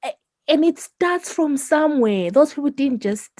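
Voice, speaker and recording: A woman's voice: sits high, lively intonation, measured pace, a little mellow, no echo. A woman from Zimbabwe co-hosting a podcast, chatting casually and animatedly, often laughing and ending her points with "right?". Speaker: podcast co-host. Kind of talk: casual chat.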